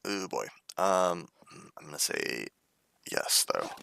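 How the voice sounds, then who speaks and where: Deep gravelly man streaming a game. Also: sing-song, takes it slowly, pushed and bright. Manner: reads game characters' lines in varied voices, playful and chatty. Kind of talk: reading game text aloud with casual commentary.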